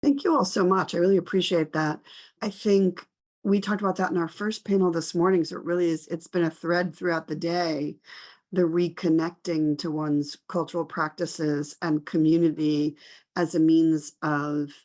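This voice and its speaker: A woman's voice: on the low side, steady tone, normal speed, darkish tone. A woman moderating an online panel, reflective and appreciative as she thanks speakers and reads out questions.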